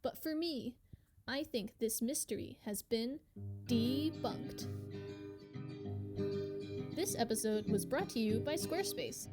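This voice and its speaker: Woman playing a highish-voiced young podcast host. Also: expressive, slow pace, long pauses, over music. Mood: presenterly. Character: twenty-six-year-old female podcast host, casual, self-assured. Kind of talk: podcast hosting.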